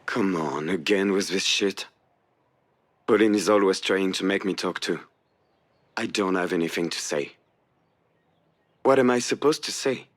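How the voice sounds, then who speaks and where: A man's voice: low-pitched, unhurried, long pauses. An actor playing a guarded, hurting man in a video game drama, protective and self-effacing, with a hesitant, defensive way of talking. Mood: defensive, irritated. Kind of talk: naturalistic dramatic visual novel voice acting.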